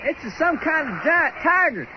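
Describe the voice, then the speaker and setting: A brash country boy in a radio adventure. The voice is extremely high, blunt and jumpy. It comes through a muffled, clearly noisy recording. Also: sing-song, measured pace, exaggerated voice. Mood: blunt, alarmed. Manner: blunt, folksy exclamations. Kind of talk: old-time radio comedy adventure acting.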